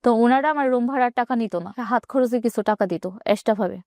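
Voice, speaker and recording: Fast-talking Bangladeshi woman, dry close sound. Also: on the high side, a little mellow, dry recording. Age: 20s. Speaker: interview guest telling own life story. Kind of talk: personal storytelling in an interview.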